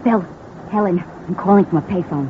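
An actress playing a stubborn, scheming American wife in an old crime radio drama, slangy and insistent. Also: female voice, lowish voice, brisk, natural voice. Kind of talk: 1940s-50s crime radio drama acting. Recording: muffled old radio recording with noise.

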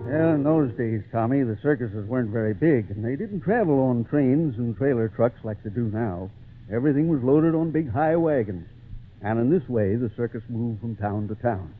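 A man's voice: medium pitch, normal speed, soft background music, lightly acted. An actor playing a fatherly storyteller narrating a tale to a boy in an old radio drama. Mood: fatherly, storytelling. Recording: noisy, tinny old radio broadcast.

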